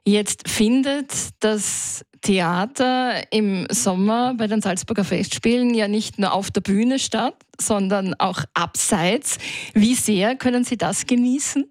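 A woman, speaking at a medium pitch into a studio microphone in a dry, close sound. She hosts a radio interview and asks her questions in a composed, well-prepared way. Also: slow pace, a little mellow, dry recording.

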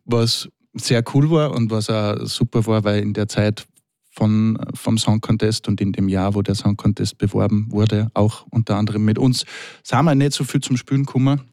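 A man in his thirties with an Austrian accent chats as a musician guest in the studio. He talks in a relaxed, rambling way, full of fillers. His voice sits a bit low, and the recording is dry and close. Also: normal speed, no echo. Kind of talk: casual interview talk.

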